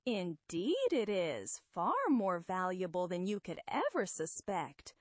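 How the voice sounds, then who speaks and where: Armored male supervillain, acted by a woman: imperious, grandiose, drawn-out delivery, dry close sound, comic-book story. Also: fairly low pitch, sing-song, a little mellow, no room sound. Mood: sinister. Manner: pompous, grandiose, deliberate.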